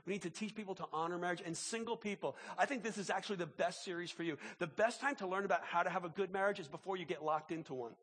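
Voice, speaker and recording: A quick, energetic male pastor whose voice has dropped deeper than usual because he is sick. He stumbles over and repeats words as he urges the congregation on, speaking a bit higher than most men. He is addressing a large church auditorium. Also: husky, in a large hall. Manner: repetitive restarts, emphatic pleading. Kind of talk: sermon with opening prayer and announcements.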